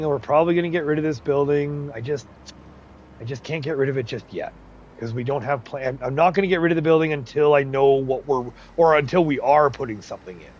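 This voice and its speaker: Laid-back streamer guy, fairly high voice, faint game engine hum behind him. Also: a little mellow, poor audio. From English, Irish, Scottish, Ukrainian and Romanian heritage. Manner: chatty, laughs often, reads chat aloud. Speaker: livestream host. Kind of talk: casual gameplay livestream chat.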